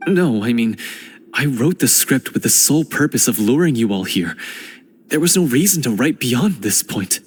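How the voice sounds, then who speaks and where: A man's voice: mid-range voice, sing-song, moderate pace, breathy edge. A man voicing a remorseful young male hunter in a game cutscene, speaking in an earnest, hesitant, self-reproaching way and stammering at times. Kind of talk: video game cutscene voice acting.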